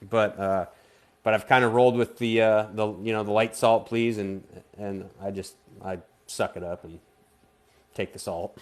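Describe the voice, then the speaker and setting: Low-voiced man, halting guest. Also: fairly even intonation. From Vermont, US. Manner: halting, stops and restarts often. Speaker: guest artist. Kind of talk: casual live-stream interview.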